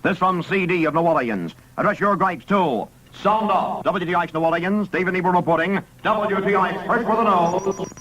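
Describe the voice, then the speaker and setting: A man reading a radio newscast from the late 1950s in a brisk, clipped headline style. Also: high voice, a bit bright, a little hiss or hum. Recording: muffled old AM radio broadcast.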